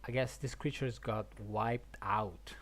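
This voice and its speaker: A gaming streamer giving casual commentary on a story, thinking aloud haltingly and repeating words. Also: medium pitch, fairly even intonation, a bit bright.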